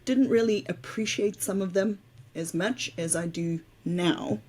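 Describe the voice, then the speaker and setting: A woman's voice: medium pitch, a little slow. A woman in her fifties from South Africa, with Afrikaans as her first language, telling her story openly as a podcast guest. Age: 50s. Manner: open, storytelling, relaxed. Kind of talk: casual interview talk.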